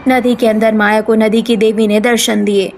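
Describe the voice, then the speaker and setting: A woman's voice: fairly high pitch, little pitch movement, normal speed, soft background music. A woman narrator reading a scripted cartoon story.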